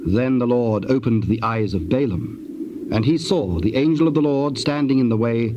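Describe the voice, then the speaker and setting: A man narrates dramatized scripture in solemn, archaic English. His voice sits at a middle pitch, with clear noise from an old cassette tape behind it. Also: normal speed, dull audio.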